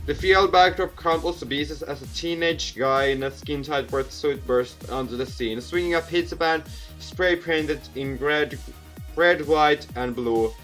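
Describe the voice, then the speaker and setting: A man's voice: a little high, a little slow, a bit bright. A young man hosting a gaming video, reading visual novel narration aloud with asides and stumbling over repeated words. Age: young adult. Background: music.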